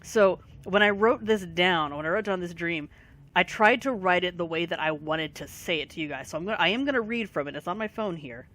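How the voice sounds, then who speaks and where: A tired gaming video creator, short on sleep and fighting allergies, giving a casual rambling commentary full of pauses. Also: medium pitch, a bit bright. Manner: rambling, many pauses and fillers. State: voice affected by allergies.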